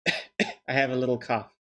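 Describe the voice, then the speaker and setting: A man's voice: medium pitch, little pitch movement, slow pace, pushed and bright. A young man chatting casually on a stream, joking and thanking viewers as he goes. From New Zealand. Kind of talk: casual chat while drawing on stream.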